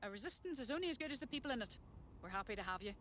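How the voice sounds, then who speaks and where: An actress playing a bold, sceptical resistance hacker in a video game, speaking in a blunt, wry way. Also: female voice, on the high side, animated, rapid-fire, a bit bright, slight background noise. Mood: confident. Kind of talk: dramatic video game acting.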